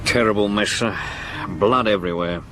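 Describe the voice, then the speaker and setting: Man acting a lowish-voiced dutiful English police sergeant. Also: moderate pace, over a noisy crowd, lightly acted. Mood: dry, grim. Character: dry, dutiful Victorian London police sergeant. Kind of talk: 1970s radio drama acting. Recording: slightly noisy vintage radio recording.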